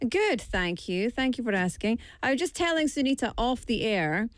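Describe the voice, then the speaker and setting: A woman's voice: on the high side, very animated, moderate pace, no echo. A young woman from the UK hosting a studio talk show, upbeat and chatty. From United Kingdom. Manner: upbeat, chatty, self-deprecating. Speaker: radio show host. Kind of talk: casual interview chat.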